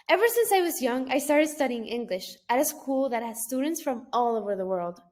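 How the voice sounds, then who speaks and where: A young woman from Mexico, a Spanish speaker with a Mexican accent, reading a class presentation in careful, list-like phrases. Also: high-pitched. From Mexico (Guadalajara). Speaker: student.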